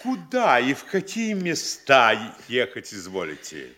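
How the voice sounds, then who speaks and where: An actor playing a scheming, obsequious middle-aged provincial mayor in a theatrical radio play, fawning aloud and muttering slyly to himself. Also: male voice, medium pitch, wildly swooping pitch, unhurried, bright, forceful tone, exaggerated voice. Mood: ingratiating. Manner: fawning speech broken by muttered sly asides. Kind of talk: theatrical Russian classic radio play acting.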